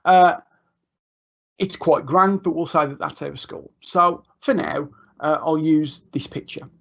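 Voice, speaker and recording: A fairly high-voiced man talks teachers through a software demo in a loose, chatty way, full of ums and self-corrections. The sound is dull and lo-fi, like a live online stream. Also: steady tone, a little slow, gravelly. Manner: chatty, informal, many ums and restarts. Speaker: education technology trainer. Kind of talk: live screen-share tutorial. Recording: muffled lo-fi online stream audio.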